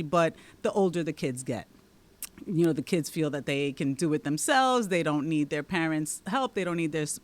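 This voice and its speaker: A woman from the Dominican Republic with a Dominican accent, a guest answering interview questions in an explanatory way, often checking in with "right?". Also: on the low side. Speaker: guest, township committeewoman and teacher.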